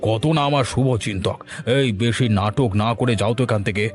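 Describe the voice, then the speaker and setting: A grumpy older village man, played by a male voice actor in a cartoon tale. He scolds and brushes people off with exasperation, in a mid-pitched voice. Music plays behind him. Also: fairly quick, natural voice. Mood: angry, sarcastic. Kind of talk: dramatized cartoon story dialogue.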